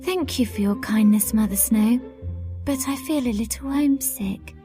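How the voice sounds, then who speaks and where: A woman's voice: fairly high pitch, moderate pace, music in the background, lightly acted. A woman voicing a sweet, polite, grateful young girl in a children's storybook cartoon.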